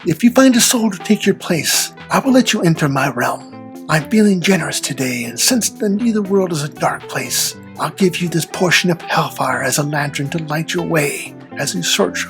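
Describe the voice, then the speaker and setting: A suave devil, acted out by a performer, speaks in measured, archaic phrases with a gravelly, creaky edge. The folktale menace is courtly and ominous, and music plays underneath. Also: mid-range voice, expressive, lightly acted. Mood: gloating, ominous. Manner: formal, archaic, measured diction.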